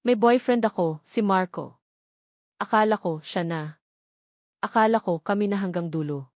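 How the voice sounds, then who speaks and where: A woman tells a dramatic first-person story as a narrator. Her pitch sits in the middle for a woman, and the sound is dry, with very little room. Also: moderate pace, darkish tone, no room sound.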